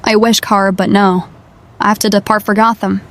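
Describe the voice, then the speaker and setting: A woman playing a brisk, dry-witted reporter. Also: mid-range voice, flat delivery, fairly bright tone, natural voice. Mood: apologetic, brisk. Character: brisk, sardonic, capable adult female newspaper reporter. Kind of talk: audio drama acting.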